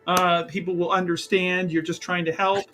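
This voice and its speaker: A man playing a tabletop roleplaying game, improvising in conversation and often halting and repeating his words. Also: high voice, flat delivery, bright, forceful tone, faint music behind. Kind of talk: improvised in-character game chat.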